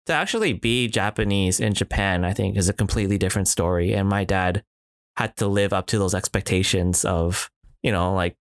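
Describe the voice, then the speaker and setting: A man's voice: deep voice, a little fast, no echo, studio quality. A man in casual podcast chat, reflective, with many fillers and restarts. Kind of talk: casual conversation.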